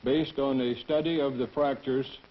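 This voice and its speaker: Male forensic expert, medium pitch, formal, precise, muffled 1960s film soundtrack. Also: fairly even intonation, moderate pace, a little hiss or hum. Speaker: forensic tool mark examiner. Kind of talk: scripted testimony narration.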